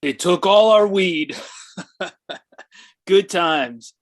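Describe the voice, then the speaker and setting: A man plays a boastful big brother, brash and mocking. His voice sits high for a man, and the delivery is that of a live comedy script reading. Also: very slow. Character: brash, boastful older brother, has-been actor type. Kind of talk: live comedy table read.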